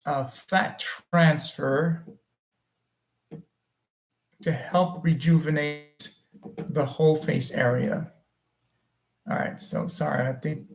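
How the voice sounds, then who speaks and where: A man whose first language is French, speaking in a slightly higher than usual register. He answers viewer questions loosely, with frequent ums and self-corrections. The sound carries a roomy echo. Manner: loose and halting, with many ums and restarts. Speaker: plastic surgeon hosting a livestream Q&A. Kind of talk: casual livestream Q&A.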